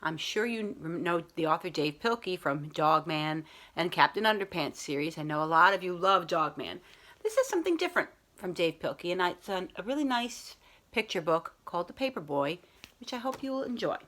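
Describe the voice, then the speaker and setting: A woman's voice: fairly low pitch, sing-song, fairly quick, a bit bright. A woman reading a picture book aloud as a school librarian, talking to young children in simple, explanatory phrasing.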